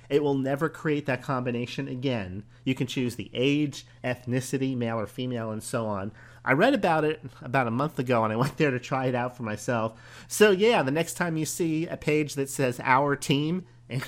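A man with a hoarse voice hosting a solo podcast, talking in a conversational, musing way. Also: medium pitch. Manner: conversational, musing aloud with pauses and small laughs. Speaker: podcast host.

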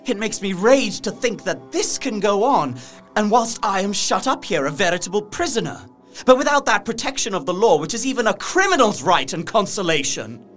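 A man's voice: high-pitched, sing-song, moderate pace, a bit bright, faint music behind. An actor playing a distressed young captive narrating his journal in an audio drama, earnest, intense and formal. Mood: indignant despair. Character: distressed young Englishman imprisoned in a castle, journaling.

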